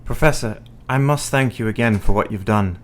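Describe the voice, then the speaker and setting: An actor playing an earnest, devoted young Victorian husband in a radio play, speaking with sincere, formal period diction. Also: male voice, on the low side, normal speed, slight background noise, natural voice. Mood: grateful, earnest.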